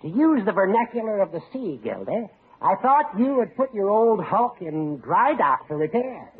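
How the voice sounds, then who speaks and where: High, husky, needling old judge. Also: male voice, big pitch swings, moderate pace, heavily acted. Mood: teasing. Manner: florid, needling. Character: teasing, wordy older male judge, a crony. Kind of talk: 1940s radio comedy acting. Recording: dull, lo-fi old radio recording.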